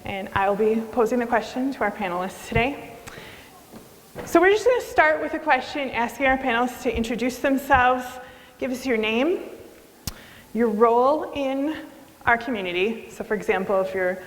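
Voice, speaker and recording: A woman teacher moderates a community panel, explaining the questions in a friendly, clear way. Her voice sits slightly high and carries a long reverb from the hall. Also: animated, moderate pace.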